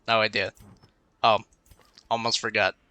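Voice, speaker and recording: A young man in a casual gaming commentary, rambling and musing half to himself as he plays. His voice is very bright and clean, though a touch congested. The cheap desk mic sounds dry and close. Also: fairly low pitch, flat delivery, measured pace, no echo. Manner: rambling, muttering to himself while thinking. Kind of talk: casual let's-play commentary. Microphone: cheap desk microphone.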